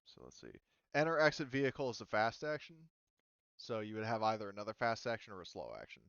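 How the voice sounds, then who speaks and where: A man running a tabletop roleplaying game as game master, casual and halting as he thinks aloud. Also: fairly low pitch, very animated, a bit bright, clean tone, no echo, lo-fi sound.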